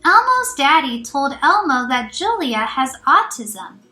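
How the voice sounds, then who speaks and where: A woman's voice: high-pitched, very animated, unhurried, pushed and bright, full character voice. A woman voicing a friendly, helpful young monster in a children's storybook, explaining things simply. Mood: earnest. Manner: simple, explaining, speaks of self in third person. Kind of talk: children's storybook read-aloud. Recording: roomy echo, faint music.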